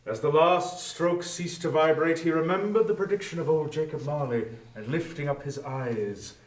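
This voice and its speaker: A man narrating a literary story theatrically, with deliberate phrasing and weight on each listed word. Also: on the high side. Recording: echoey room, distant from mic.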